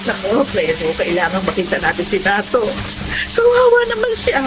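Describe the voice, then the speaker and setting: A woman's voice: mid-range voice, wildly swooping pitch, normal speed, noisy background. A woman playing a middle-aged, worried and prayerful mother in a Tagalog radio drama, with an anxious, pleading manner. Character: worried, prayerful mother fearing for her wayward son.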